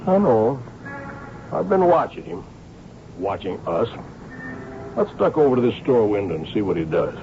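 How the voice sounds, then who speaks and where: An actor playing a seasoned, shrewd police inspector who tells his case in a measured, wry way in a radio drama. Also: on the low side, very animated, background noise. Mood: watchful, decisive. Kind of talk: radio drama acting with first-person narration. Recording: dull old radio broadcast.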